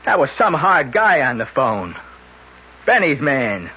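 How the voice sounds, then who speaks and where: A man's voice: medium pitch, slow pace, husky, full character voice. An actor playing a frightened, scheming young man in a 1970s radio drama, who also narrates in a grim tone. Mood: pleading. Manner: pleading as a character, calm and grim as narrator. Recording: dull vintage radio recording, faint hiss.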